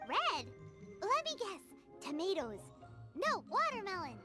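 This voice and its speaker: A woman's voice: very high voice, wildly swooping pitch, measured pace, exaggerated voice. A woman voicing a tiny, childlike, chirpy and nosy fairy companion in an anime game dub. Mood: curious, surprised. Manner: speaks of self in third person, exclaims. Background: playful music.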